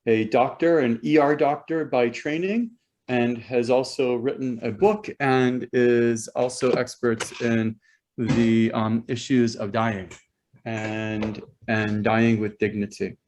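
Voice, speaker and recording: A man acting as rabbi and host, introducing a speaker over a video call in a halting way, with many ums and repeated words. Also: mid-range voice, steady tone, darkish tone, slight background noise. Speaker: rabbi hosting a talk. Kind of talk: hesitant spoken introduction.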